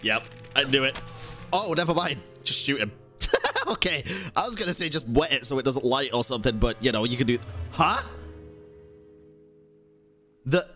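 A man's voice: medium pitch, lively intonation, moderate pace, long pauses, sharp tone, soft background music. A man in his thirties streaming a game at a studio mic at home, reacting with heated, profane, sarcastic commentary. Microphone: studio mic with headphones.

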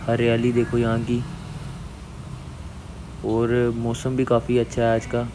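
A man's voice: mid-range voice, monotone, measured pace. A young man giving casual travel vlog commentary, pointing out the surroundings to viewers. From Jammu, India. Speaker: vlogger. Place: outdoors, on motorbike and hillside trail. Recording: noisy outdoor motorbike and trail recording.

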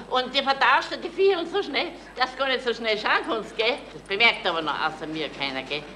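A woman's voice: medium pitch, bright, forceful tone. An actress playing a nosy, suspicious, indignant lady sleuth with a Bavarian accent, insistent and emphatic in a 1970s radio crime comedy. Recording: 1977 radio play, faint noise.

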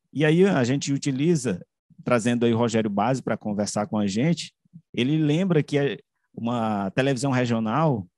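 A man with a Brazilian accent giving an explanatory academic presentation over a video call, halting as he repeats and corrects his words. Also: mid-range voice, a little mellow, no room sound, clean studio sound. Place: indoor room, on a video call. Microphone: video call microphone.

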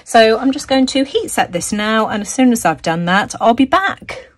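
A woman hosting a crafting video, explaining each step with plenty of ums, her voice a little croaky. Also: a little high, big pitch swings, normal speed, no room sound, studio quality. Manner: frequent ums, friendly explaining. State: slightly croaky voice. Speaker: crafting channel host. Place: craft table, indoors.

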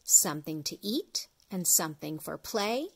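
A middle-aged woman reading an early reader picture book aloud to young children, phrase by phrase in a deliberate way. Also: on the low side, lively intonation, husky, low-quality recording. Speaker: storyteller, children's read-along host.

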